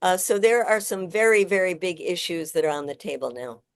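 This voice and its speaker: A woman with a smooth, clear voice pitched a little low speaks on a panel. She explains in an informal, conversational style. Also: lively intonation, brisk.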